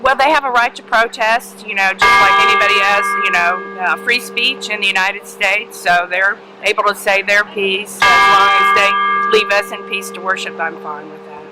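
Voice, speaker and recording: A churchgoer speaks in an easygoing, even-tempered way. Loud music is heard behind the voice. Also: medium pitch, moderate pace, hardly any pauses, rough recording.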